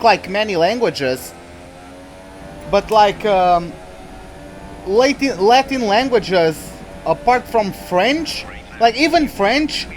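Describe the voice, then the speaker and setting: A young man whose first language is Portuguese, rambling casually in English while playing a racing game, with many fillers and restarts. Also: high-pitched. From Portuguese-speaking country. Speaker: gaming YouTuber. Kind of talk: casual let's-play commentary.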